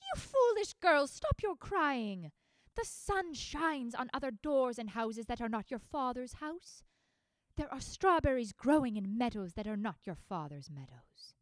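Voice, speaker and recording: Shrill, theatrical mother figure, very high and emphatic; woman narrator voicing her. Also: big pitch swings, unhurried, no echo. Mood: coaxing, scolding. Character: excitable mother, overjoyed then scolding.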